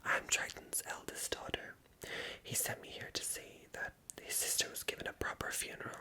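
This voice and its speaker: Storybook mermaid princess played by an ASMR reader: young, polite, hesitant, all in bright whisper. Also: normal speed. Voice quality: breathy whisper.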